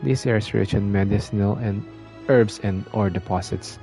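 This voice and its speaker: A male game streamer reads on-screen text plainly. His voice is fairly low and gravelly with a slightly mellow tone, and faint music plays behind him. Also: moderate pace. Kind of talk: reading game text aloud.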